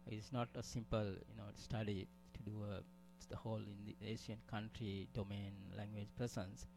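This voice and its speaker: A man's voice: fairly low pitch, level tone, moderate pace, gravelly, over music, poor audio. A man from Sri Lanka giving a conference presentation, hesitant, with many fillers and restarts. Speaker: conference speaker.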